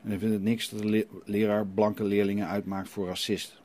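A man voicing a meek, troubled father at confession in an audio drama, husky and hesitant. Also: on the low side, flat delivery, brisk, darkish tone, lightly acted. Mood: uncertain. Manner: hesitant, uncertain.

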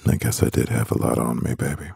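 A man playing a stressed, devoted CEO boyfriend in an intimate ASMR roleplay, murmuring with a husky, gravelly voice and pauses. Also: a bass voice, darkish tone, no echo, studio quality, heavily acted.

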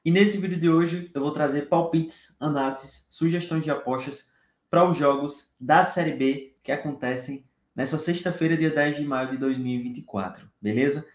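A man's voice: on the high side, moderate pace. A young Brazilian man hosting a casual sports-betting tips video from a small home room, chatty and upbeat, talking straight to his viewers. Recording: echoey room sound.

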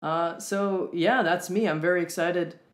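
A young Canadian man co-hosting a talk show, explaining in an earnest, measured way with deliberate pauses. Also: high-pitched, steady tone, a bit bright. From Winnipeg, Canada. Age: young adult. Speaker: co-host. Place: room at home with whiteboard and fridge, indoors.

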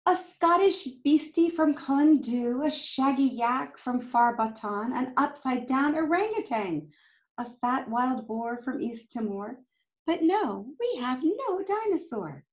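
A woman's voice: high voice, animated, a little slow, muffled recording. A middle-aged woman reading a picture book aloud expressively to young children, with little asides to them. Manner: addresses young listeners with questions and asides. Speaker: storytime reader. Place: indoors, home room with bookshelves.

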